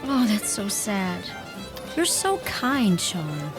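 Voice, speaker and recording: A young woman, played by an actress, speaks with an animated, varied pitch over music. She is a warm, curious newcomer in a radio drama. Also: slow pace. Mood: curious, tender. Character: warm, curious young American woman new to rural Ireland. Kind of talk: radio play acting.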